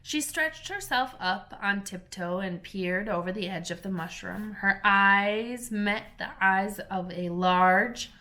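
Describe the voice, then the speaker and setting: Slow, bright-voiced woman reading a storybook. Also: medium pitch. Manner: slow, careful, expressive read-aloud. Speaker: storybook reader. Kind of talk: reading a children's book aloud.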